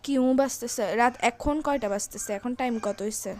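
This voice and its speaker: A woman's voice: a little high, moderate pace, lightly acted. A young Bangladeshi-accented woman playing an angry, suspicious girlfriend in a comedy phone skit, scolding and repeating her questions insistently. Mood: annoyed, suspicious.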